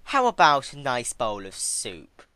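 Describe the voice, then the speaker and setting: A man's voice: medium pitch, wildly swooping pitch, a little slow, bright, forceful tone, clean tone, no room sound, pitched above the performer's own voice, exaggerated voice. A man voicing a cruel female pony villain who taunts with mock sweetness in a fan-fiction story. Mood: mock sweet.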